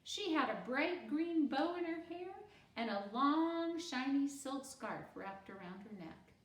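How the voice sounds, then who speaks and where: A woman reading a children's book aloud as a storyteller, in an animated, expressive manner. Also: sits high, a little slow, echoey room, far from the mic. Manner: animated, acts out character dialogue.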